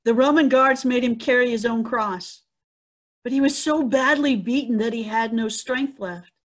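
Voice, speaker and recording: A woman's voice: a little high, moderate pace, close to an everyday voice. A woman playing an earnest female eyewitness to the crucifixion in a dramatic reading. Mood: solemn. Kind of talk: dramatic Easter scripture reading. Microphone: video call.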